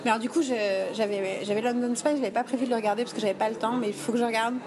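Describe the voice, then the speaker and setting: A woman's voice: fairly high pitch, steady tone, racing. A woman hosting a casual podcast conversation in a chatty, informal way. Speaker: podcast host. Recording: busy crowd chatter around the voices. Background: crowd of people talking.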